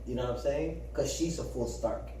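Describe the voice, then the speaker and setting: A man co-hosting a podcast in casual chat, talking in an animated way, swearing and acting out quotes. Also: medium pitch, fairly quick, slight background noise. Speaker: podcast co-host. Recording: echoey room, far from the mic.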